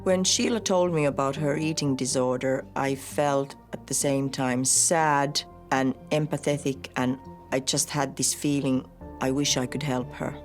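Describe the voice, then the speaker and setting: A woman from Finland, speaking as a medical doctor on a reality dinner show. Her low voice turns animated in one phrase and soft in the next. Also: unhurried, faint music behind.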